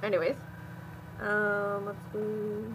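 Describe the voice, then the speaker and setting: A woman's voice: medium pitch, monotone, takes it slowly. Young woman joking thru a let's play, babbles silly stuff, noisy home mic. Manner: goofy, babbling nonsense words. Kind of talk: casual gameplay commentary. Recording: home recording with noise.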